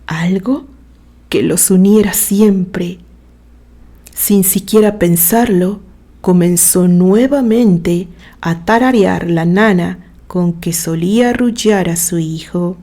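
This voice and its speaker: A woman narrates a literary story at a slow, measured pace. Her voice is soft-edged and mellow, and she reads with expressive sentiment. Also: fairly low pitch.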